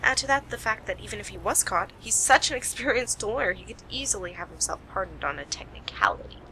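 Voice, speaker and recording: A woman's voice: medium pitch, lively intonation, fast talker, bright, forceful tone, a little hiss or hum, rough recording. A woman playing a keen, analytical amateur detective in an amateur radio play. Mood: thoughtful.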